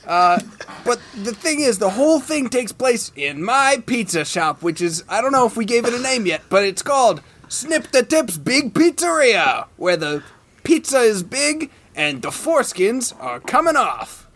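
A cocky Italian-American pizzeria owner for improvised comedy. The voice is extremely high and swings animatedly as he hustles. There is faint noise in the background. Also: very animated, measured pace, a bit bright, exaggerated voice. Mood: excited pitching. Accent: put-on Italian-American. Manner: brash, cocky, says baby and yous guys. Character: brash Italian pizzeria owner offering bargain circumcisions, male.

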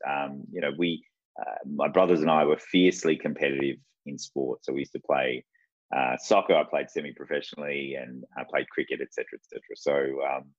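An Australian man in his forties, a guest telling his life story in an unhurried, reflective way. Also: extremely low pitch, no echo. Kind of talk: casual interview talk. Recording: dull lo-fi sound.

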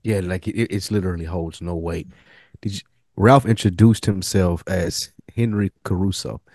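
Low, dry-sounding man on a podcast. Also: fairly even intonation, normal speed, darkish tone, no echo. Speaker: podcast co-host. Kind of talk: casual discussion with researched facts.

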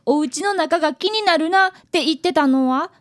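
A woman's voice: high-pitched, moderate pace, natural voice. A woman playing a polite, earnest fifth-grade boy who is an apprentice detective in a radio drama. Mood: curious. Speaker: cast member of a community radio show. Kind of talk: radio drama dialogue and narration.

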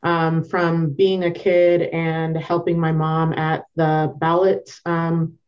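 Female, American accent, fairly low pitch, informal reflective interview guest, lo-fi muffled sound. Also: flat delivery, slow, darkish tone. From Northern Virginia, United States. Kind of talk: conversational personal storytelling.

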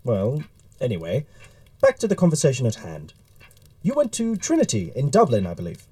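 A man playing an earnest, wordy gentleman time traveler in an audio drama, with formal period speech and a rambling manner. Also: medium pitch, huge pitch swings, moderate pace. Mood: curious, animated. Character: eager, flustered gentleman author and time traveler.

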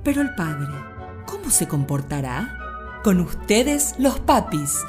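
Low-voiced woman presenter over music. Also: very animated, slow pace. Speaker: radio play presenter. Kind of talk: scripted introduction.

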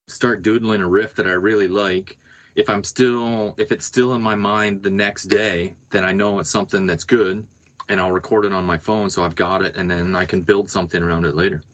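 Man, lowish pitch, musician guest, casual interview chat, halting, thinking aloud. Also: fairly even intonation, fairly bright tone, poor audio.

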